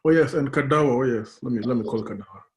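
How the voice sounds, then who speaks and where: A man's voice: medium pitch, fast talker. A man from Kenya coordinating an online class, joking and chatting casually on a video call. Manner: joking, talks in restarts. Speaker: course coordinator.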